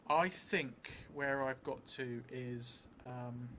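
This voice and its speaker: Slow, musing man, noisy background. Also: mid-range voice. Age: middle-aged. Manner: hesitant, musing, with long pauses. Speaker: solo role-player and streamer. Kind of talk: improvised solo tabletop game narration, thinking aloud. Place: indoor theatre room, seated at a table. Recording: clear steady background noise.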